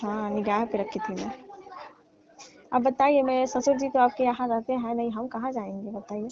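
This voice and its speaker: A woman's voice: on the high side, fairly even intonation, moderate pace, crowd noise, lightly acted. A woman playing a coy scheming mother-in-law on a phone call. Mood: coy, hushed. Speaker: comedy call actor. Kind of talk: staged comic phone call. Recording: dull lo-fi phone call recording.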